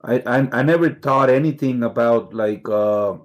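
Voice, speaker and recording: A man's voice: medium pitch, normal speed. Swearing Mexican-accented man, laid-back. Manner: laid-back, swears constantly, laughs easily. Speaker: podcast guest. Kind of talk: casual storytelling interview.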